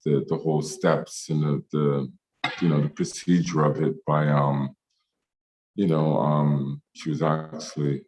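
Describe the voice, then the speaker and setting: A man from Jamaica speaking as a ceramic artist in an online meetup interview, halting with many fillers and restarts. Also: extremely low pitch, flat delivery, a little mellow, a little hiss or hum, poor audio.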